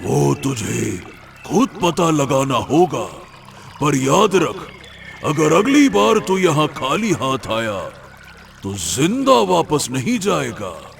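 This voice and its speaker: Slow, gravelly, menacing cave spirit. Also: male voice, fairly high pitch, big pitch swings, a little hiss or hum, heavily acted. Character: menacing, taunting guardian spirit of a diamond cave. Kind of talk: melodramatic animated story acting. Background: music.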